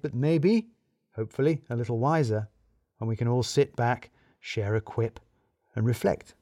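A man's voice: medium pitch, warm tone, no echo, studio quality. A man narrating a scripted first-person mystery story in a wry, arch, wordy storyteller's manner.

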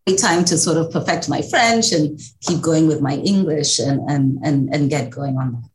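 A Kenyan-accented woman with a slightly low voice speaks as an interview guest. She talks conversationally and reflectively, telling her story. There is faint noise in the background. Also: moderate pace, echoey room. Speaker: interview guest, executive director.

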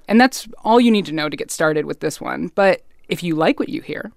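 A woman's voice: deep voice, lively intonation, a little fast, gravelly, dry recording, clean studio sound. An American woman hosting a science podcast in a casual, playful, conversational storytelling style. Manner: informal, playful.

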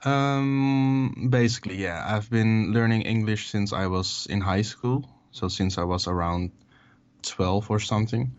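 A young man with a Dutch accent and a very low, deep voice answers in English, his second language. He talks in a relaxed, halting way, pausing on fillers. The call audio sounds dull and lo-fi. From Netherlands. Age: 20s. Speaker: musician guest. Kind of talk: casual interview over a call.

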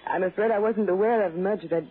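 An actress playing a gentle, fragile grieving woman in a 1960s radio drama, with halting, polite delivery. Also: mid-range voice, slightly rough, lightly acted. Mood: subdued, hesitant. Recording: muffled vintage radio recording, faint music.

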